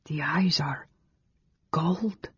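A man's voice: high-pitched, a little slow, creaky, warm tone, breathy edge, no room sound, voice pushed up, heavily acted. A man voicing a distraught young male stranger in a storybook, emotional and full of grief and awe. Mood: awed. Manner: anguished, gasping, whispering. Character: distraught, awestruck young male stranger grieving his sister. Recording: dull lo-fi recording, very dry.

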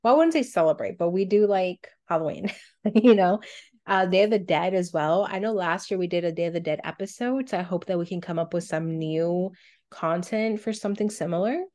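A woman's voice: fairly low pitch, fairly quick, warm tone. A woman co-hosting a podcast in casual conversation, speaking informally and thinking aloud as she goes. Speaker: podcast co-host.

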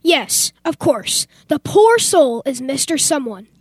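Young woman as a very high-voiced fussy gentleman. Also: sing-song, slow pace, no echo, studio quality, exaggerated voice. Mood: polite, breezy. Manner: fussy, exclamatory, posh phrasing. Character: fussy, wealthy, oblivious gentleman homeowner. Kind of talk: amateur old-time radio theater acting.

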